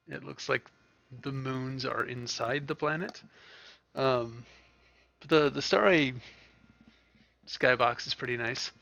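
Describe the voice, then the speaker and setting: Guy doing casual game commentary, gravelly fry, bright edge to his voice. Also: medium pitch, muffled recording. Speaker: gaming video host.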